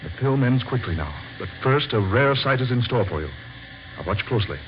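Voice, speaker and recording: An actor playing a grave, visionary scientist in an old-time radio drama, speaking formally and with theatrical deliberation. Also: male voice, mid-range voice, animated, fairly quick, music in the background, lightly acted. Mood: awed, intent. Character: grave, visionary male scientist unveiling his secret discovery. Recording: dull, faintly noisy old radio recording.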